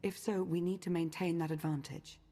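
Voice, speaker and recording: An actress voicing a composed, analytical woman in a video game, with a measured, precise way of talking. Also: lowish voice, fairly even intonation, warm tone, close to an everyday voice.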